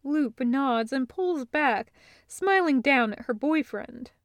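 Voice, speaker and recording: Female audiobook narrator, slightly high-pitched, measured and unhurried, with a dry, close sound. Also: expressive, dry recording. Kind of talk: scripted fiction narration, measured.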